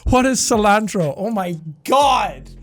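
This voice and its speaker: Male YouTuber reacting to posts: bouncy, swings pitch up and down, swears a lot, faint music behind. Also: slow pace. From Ireland. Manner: energetic, sweary, laughs often, mock-outraged rants. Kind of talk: reading and reacting to online posts.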